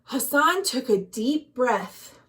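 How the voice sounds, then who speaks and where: An American woman reading a children's story expressively, with dramatic, deliberate emphasis and questions to young listeners. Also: high-pitched, animated, a bit bright, slight background noise. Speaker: librarian, storytime reader.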